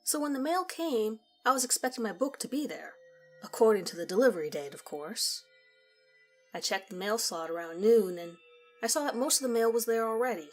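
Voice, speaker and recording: A woman narrating a scripted first-person story in a conversational, measured storytelling manner. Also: a little high, expressive. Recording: music behind the voice. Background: background music.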